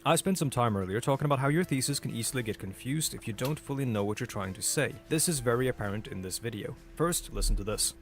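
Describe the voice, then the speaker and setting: Brief: Norwegian man, brisk scripted essay read, faint music under. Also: medium pitch, lively intonation. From Norway. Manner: measured, critical, explanatory. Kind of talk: scripted video essay narration.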